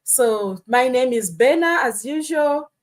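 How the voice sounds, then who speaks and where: A woman from Uganda hosts a live stream with a fairly high voice. She talks earnestly and keeps checking in with her listeners, scattering "you know" and "hmm?" through her points. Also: lively intonation, takes it slowly. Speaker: host. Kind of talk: casual live-stream talk.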